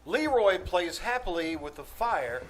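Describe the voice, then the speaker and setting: A man's voice: high voice, very animated, unhurried, a little hiss or hum. A man announcing a scripted radio show in 1940s style. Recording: live stage show with loud music behind. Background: loud music.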